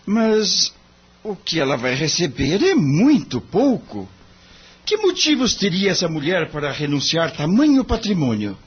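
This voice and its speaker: A courteous young lawyer from an audio drama, played by a man. His voice is a little high and slightly husky, with formal, deferential phrasing. The sound is somewhat muffled. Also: wildly swooping pitch, a little slow, full character voice. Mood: puzzled, insistent. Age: young adult.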